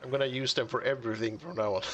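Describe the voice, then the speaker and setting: A man's voice: mid-range voice, a bit bright, muffled recording, a little hiss or hum. A man streaming a building game, chatting casually as he works and often chuckling, musing half to himself. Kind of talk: casual live-stream commentary while building.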